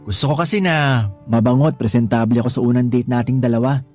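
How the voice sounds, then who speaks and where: A young man voicing a smooth-talking, manipulative suitor in a radio love story. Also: medium pitch, fast talker, a little mellow, soft background music, close to an everyday voice. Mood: charming. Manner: charming at first, then demanding. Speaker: suitor Dennis. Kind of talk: radio drama acting.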